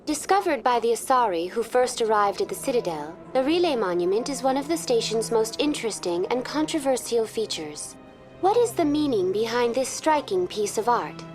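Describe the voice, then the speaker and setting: Polite space station info terminal, highish voice, formal measured tour guide delivery, faint music behind. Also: female voice, very animated. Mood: polite, musing. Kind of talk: scripted informational narration.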